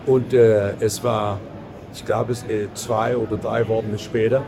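A man from Belgium, a podcast guest who speaks halting with many fillers and restarts. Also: lowish voice, level tone. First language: not German. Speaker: podcast guest, editor of a print-industry film channel. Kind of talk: casual interview chat. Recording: crowd noise behind.

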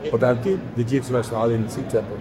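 Man in halting German, crowd behind. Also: medium pitch, steady tone. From Belgium. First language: not German. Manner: halting non-native German, many fillers and restarts. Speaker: podcast guest, editor of a print-industry film channel. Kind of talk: casual interview chat.

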